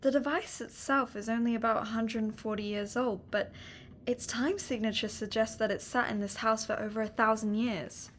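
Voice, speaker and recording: A woman playing a composed, caring investigator of the supernatural in an audio drama, with quiet authority in her manner. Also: a little high, steady tone, normal speed, slight background noise, natural voice. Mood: thoughtful.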